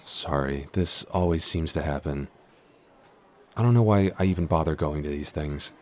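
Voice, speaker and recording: A man's voice: rumbling low, moderate pace, darkish tone. A man playing a shy, clumsy giant in a roleplay audio, stammering, hesitant and constantly apologetic. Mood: awkward, apologetic. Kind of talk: intimate roleplay audio acting.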